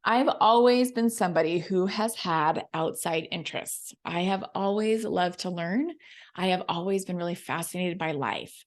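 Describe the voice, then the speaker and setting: A woman's voice: fairly low pitch, moderate pace. A middle-aged American woman hosting a chatty solo podcast, friendly and informal, with a scratchy, froggy voice from laryngitis. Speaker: podcast host and coach.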